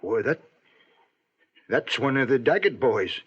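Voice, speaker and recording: A genteel, nervy frontier hotel clerk with a lively, swooping delivery. He is fussy and polite in the old radio drama style, on a slightly dull recording. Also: mid-range voice, measured pace, husky. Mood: flustered. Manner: genteel, easily flustered. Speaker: actor in a radio western. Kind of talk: old-time radio western acting.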